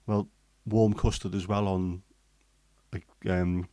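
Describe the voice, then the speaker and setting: A man's voice: deep voice, little pitch movement, takes it slowly, dark tone, no echo. A man from England, chatting casually on a livestream and answering comments, rambling with many ums and restarts. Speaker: livestream host. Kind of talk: casual chat, reading and answering live comments.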